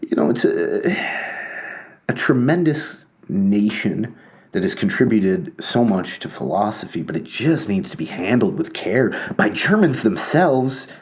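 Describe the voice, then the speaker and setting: A man talking off the cuff in a rambling, halting way, full of fillers and restarts, with a wry self-mocking streak. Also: fairly low pitch, big pitch swings. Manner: wry, laughs at himself. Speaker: commentator on books and ideas. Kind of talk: casual monologue.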